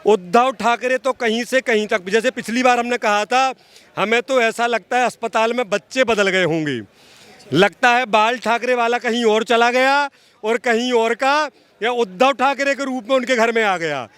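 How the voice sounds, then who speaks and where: A man's voice: very high-pitched, moderate pace, fairly bright tone, clear, resonant tone, no echo. A man giving impassioned, opinionated political commentary as an activist in an interview, emphatic, repeating phrases and addressing the listener directly. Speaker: social activist being interviewed.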